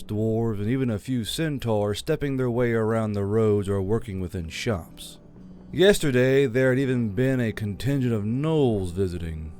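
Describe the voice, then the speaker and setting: A man's voice: medium pitch, a little mellow. A man reading a scripted fantasy audiobook as its narrator. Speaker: audiobook narrator, channel host.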